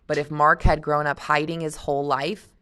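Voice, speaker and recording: A woman's voice: sits low, flat delivery, moderate pace, a bit bright, clear, resonant tone. A woman teacher reading a novel aloud to a class and discussing it in a casual, explaining way, thinking aloud.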